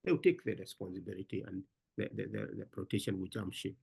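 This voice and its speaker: Animated gravelly-voiced man speaking at a conference. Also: low voice, fast talker. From Africa. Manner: emphatic, repeats words, addresses listener as brother. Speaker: conference speaker answering questions. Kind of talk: animated panel talk. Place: online conference.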